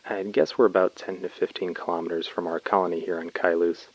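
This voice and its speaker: Deep-voiced, chatty colony worker. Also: male voice, fairly even intonation, brisk, darkish tone. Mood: affectionate, confiding. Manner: conversational, informal storytelling. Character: chatty young male colony labourer messaging his brother. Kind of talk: audio drama monologue. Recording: dry, slightly dull close recording.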